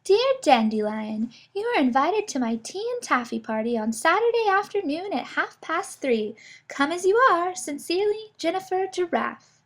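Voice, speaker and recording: A ladylike giraffe hostess from a picture book, voiced by a woman. Her high voice lilts along, prim and courteous. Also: big pitch swings, normal speed, a bit bright, exaggerated voice. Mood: inviting.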